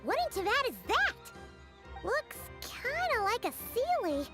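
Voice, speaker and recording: A woman voicing a tiny, excitable, childlike fairy sidekick in a video game, chirpy and theatrical in manner. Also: extremely high pitch, unhurried, over loud music, exaggerated voice. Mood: puzzled, curious. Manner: chirpy, theatrical, speaks of herself in third person.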